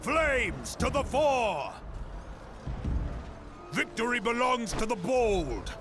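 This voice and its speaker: An actor playing a bold, fierce battlefield commander in a fantasy game, delivering coarse, declamatory rallying cries. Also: male voice, very high-pitched, big pitch swings, takes it slowly, exaggerated voice. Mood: fierce, rousing. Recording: loud music behind the voice.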